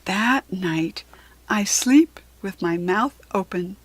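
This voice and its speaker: An American woman reading a children's picture book aloud in an expressive, deliberate, teacherly way. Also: medium pitch, breathy edge, dry recording.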